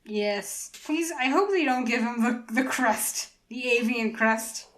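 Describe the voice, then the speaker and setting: A woman's voice: fairly high pitch, expressive, takes it slowly, fairly bright tone. A woman in her mid twenties chatting casually as a podcast co-host. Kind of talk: casual chat.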